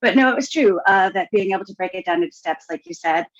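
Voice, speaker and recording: A woman's voice: mid-range voice, animated, fast talker, no room sound. A woman chatting casually as a podcast guest, wry and often interrupting herself. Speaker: writing course graduate, podcast guest. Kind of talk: casual chat. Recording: dull, dry lo-fi microphone.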